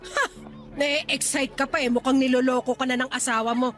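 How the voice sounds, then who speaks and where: A woman's voice: sits high, fairly even intonation, moderate pace, a bit bright, faint music behind. A woman playing a blunt, suspicious, scolding middle-aged mother in a Filipino radio drama. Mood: suspicious, needling. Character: nagging, suspicious mother of a grown married daughter.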